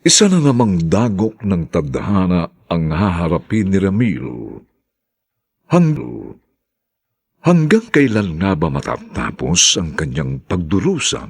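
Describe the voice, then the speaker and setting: A man narrating and announcing a radio drama in a theatrical, deliberate, scripted style. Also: deep voice, big pitch swings, darkish tone, breathy edge.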